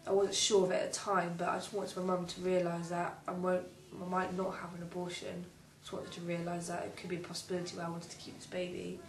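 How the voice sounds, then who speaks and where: A young woman in her late teens, a young mother, telling her story hesitantly with pauses. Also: on the low side, completely flat delivery. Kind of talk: personal testimony. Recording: roomy, distant, faint noise.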